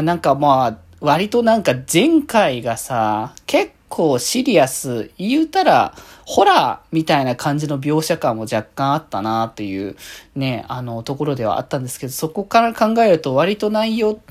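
A man hosting a solo podcast, chatting casually through his thoughts on an anime episode in a polite but conversational way, often trailing off into soft sentence-end particles. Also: fairly high pitch, sing-song, moderate pace.

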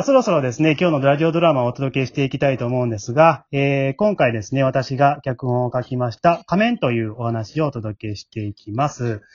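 A man's voice: medium pitch, dry recording. Polite man hosting, muffled sound. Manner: polite, explanatory. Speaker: host and scriptwriter of an amateur drama group. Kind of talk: casual hosting chat. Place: at home, remote recording.

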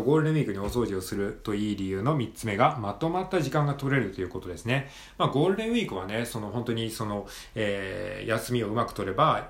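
A man hosting a solo podcast, giving casual practical tips in a conversational way with frequent softening tags at the ends of phrases. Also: lowish voice, echoey room.

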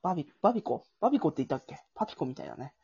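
Husky woman chatting casually. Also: sits low, sing-song, very fast, dark tone, highs cut off. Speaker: host and narrator. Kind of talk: casual chat setting up an improvised skit.

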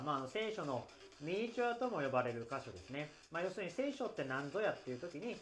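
A man's voice: fairly high pitch, fairly bright tone. A man hosting a casual solo podcast after-talk, explaining things in a hesitant, conversational way. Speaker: podcast host.

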